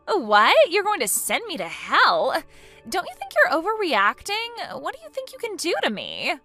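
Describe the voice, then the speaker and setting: A woman's voice: extremely high pitch, wildly swooping pitch, normal speed, sharp tone, faint music behind, voice pushed up, exaggerated voice. A woman voicing a selfish, entitled young woman who reacts with whiny, indignant outbursts.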